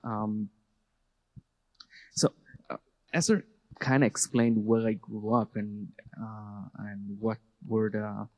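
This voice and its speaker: This is a man in his thirties with an Israeli Hebrew accent and a lowish voice. He speaks to an audience about his own life, thoughtfully and haltingly, with slight nerves. The sound rings with the long reverb of a hall. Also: flat delivery, highs cut off. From Jerusalem, Israel. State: a bit nervous. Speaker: speaker at a public talk, activist educator. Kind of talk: personal testimony to an audience.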